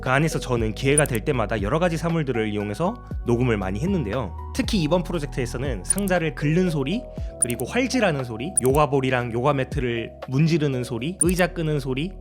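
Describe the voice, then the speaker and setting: A man's voice: mid-range voice, animated, quick pace, few pauses, music in the background. A man narrating a tutorial as a sound designer, explaining his process in a polite way.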